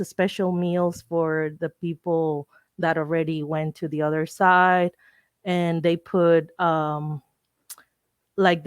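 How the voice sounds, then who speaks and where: A woman from Mexico with a Mexican accent, a native Spanish speaker, giving an informal educational presentation in a halting, explanatory way, searching for words. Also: on the low side, clear, resonant tone, no room sound, studio quality. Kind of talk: informal educational talk with slides.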